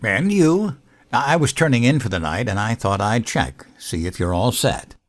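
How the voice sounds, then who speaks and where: A man's voice: mid-range voice, lively intonation, normal speed, lightly acted. Man playing a swooping, childlike American president. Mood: awkward, sincere. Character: bumbling, childlike, earnest American president, teddy bear lover. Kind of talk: comedic audio drama acting.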